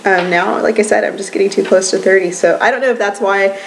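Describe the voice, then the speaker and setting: A woman's voice: medium pitch, fairly even intonation, fast, gravelly, a little hiss or hum, low-quality recording. A woman in her twenties chatting casually in a home vlog, rambling with lots of ums and little laughs. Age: 20s. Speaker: vlogger.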